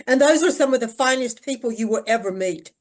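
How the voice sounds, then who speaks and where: A woman's voice: a little high, lively intonation, fairly quick. An older woman speaking casually in a group discussion, emphatic and repeating phrases to stress her point. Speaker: church discussion participant.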